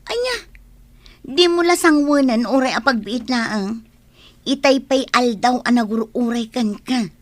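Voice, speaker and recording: A woman's voice: fairly high pitch, animated, unhurried, no echo. Woman acting a worried, nagging Ilocano farmer's wife. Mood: reproachful. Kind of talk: Ilocano radio drama acting.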